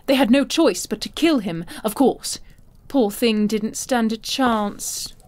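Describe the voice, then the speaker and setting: An actress playing an imperious, cruel older madame in a fantasy audio drama, haughty, silky and condescending. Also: female voice, fairly high pitch, slow pace, a little mellow. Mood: threatening.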